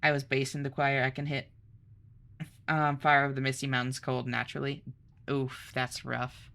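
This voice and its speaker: Bright, bubbly young woman streamer. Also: rumbling low, level tone, moderate pace, creaky. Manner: bubbly and chatty, laughs often. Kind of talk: casual live-stream chat, reading chat aloud.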